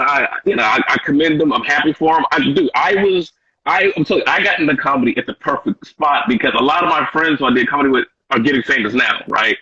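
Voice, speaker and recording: A man's voice: fairly high pitch, bright, forceful tone. A man in his thirties from Washington, D.C., a stand-up comedian telling stories as a guest in a casual interview, slangy and loose, with lots of "you know". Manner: laid-back slangy storytelling with frequent "you know". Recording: dull lo-fi audio.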